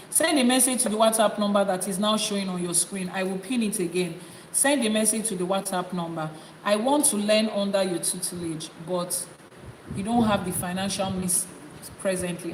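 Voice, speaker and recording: Woman teaching and chanting. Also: medium pitch. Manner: repetitive, coaxing questions to viewers; rhythmic, rising chant in long litanies. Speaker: Ifá teacher and priestess. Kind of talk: live-stream teaching and chanted invocation. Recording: echoey reverberant room with background noise.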